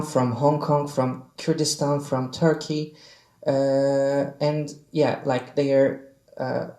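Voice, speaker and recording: An artist on a panel, speaking measured, hesitant non-native English about an installation. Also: medium pitch, darkish tone, reverberant. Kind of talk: panel discussion.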